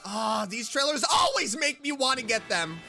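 A reaction streamer gushing over a game trailer with loud exclamations and frequent laughter. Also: mid-range voice, moderate pace, vocal fry, faint music behind. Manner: excitable, laughs often, exclaims loudly. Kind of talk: casual reaction commentary.

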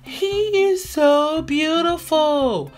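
A woman reading a picture book aloud for young children, expressive and deliberate in her storytelling. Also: sits high. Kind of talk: animated read-aloud narration for young children. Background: music.

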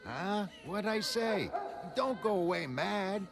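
An actor voicing a chatty working-class street vendor, colloquial, slangy and animated, in a motion comic. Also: male voice, high voice, slow pace, pitched above the performer's own voice, full character voice. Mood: defensive, grumbling. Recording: studio voice over prominent music.

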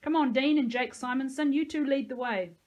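A woman voicing a paranoid, aggressive cadet leader in his twenties, a mockingly cheerful bully, in an audiobook. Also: moderate pace. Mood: commanding, jovial. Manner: booming, taunting, bossy. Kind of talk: audiobook character dialogue.

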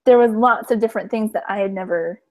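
A young woman interviewer talks into earbud headphones on a video call from her room at home. Her delivery is relaxed and a little halting, with frequent restarts, and her pitch stays in the middle. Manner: relaxed, slightly hesitant, restarts sentences. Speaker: interviewer host. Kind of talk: casual interview chat. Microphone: earbud headset on video call.

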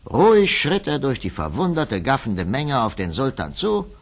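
A man narrating a children's fairy tale from a script in a dramatic, measured storytelling manner. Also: medium pitch, wildly swooping pitch.

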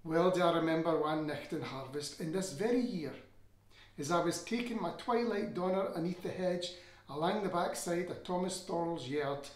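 A middle-aged Scottish man giving an expressive, old-fashioned storytelling reading of literary prose. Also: on the high side. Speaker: reader of a novel excerpt. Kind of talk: expressive literary reading in Scots-flavoured English. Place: small furnished room indoors. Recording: roomy indoor echo.

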